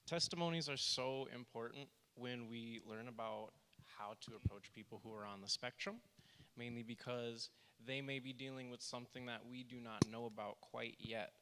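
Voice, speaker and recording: A soft-spoken man who is a therapist, giving a church workshop presentation and reading quotes aloud with earnest emphasis. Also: mid-range voice, a little hiss or hum. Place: church hall. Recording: reverberant church hall.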